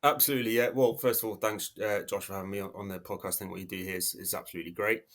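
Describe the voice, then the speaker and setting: A man in his thirties with an English accent speaks in a fairly low voice. He talks as a podcast guest, casual and hesitant, often restarting his sentences and filling pauses. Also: fairly even intonation, a bit bright. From South Africa. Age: 30s. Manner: hesitant, many restarts and fillers. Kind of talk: casual interview talk.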